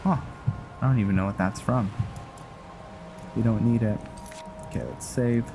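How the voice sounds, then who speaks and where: A man giving casual gameplay commentary, thinking aloud in a rambling, halting way. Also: on the low side, music in the background. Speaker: gameplay video host and role-player. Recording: clear background noise, game ambience. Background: noise and video game ambience.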